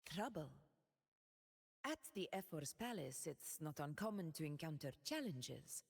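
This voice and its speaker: Proud noble ruler in a game, solemn, measured, slow-spoken, echoing hall. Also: female voice, sits low, very animated, gravelly, breathy edge, exaggerated voice. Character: proud noble woman ruler, steadfast warrior. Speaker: game character voice lines. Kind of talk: game voice lines.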